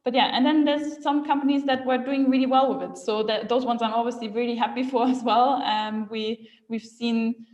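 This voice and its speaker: A woman's voice: on the high side, steady tone, normal speed, hardly any pauses, a little mellow, echoey room, lo-fi sound. A young woman from Austria, guest on a marketing web show, chatting casually with lots of fillers and frequent laughs. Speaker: guest speaker. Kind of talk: casual chat.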